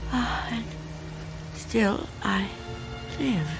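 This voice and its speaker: Slow, frightened old woman. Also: fairly high pitch, vocal fry, over music, exaggerated voice. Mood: dazed, weak. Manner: hesitant, halting, fearful. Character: frail, frightened, reclusive old woman, wary and stubborn. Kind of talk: radio drama acting.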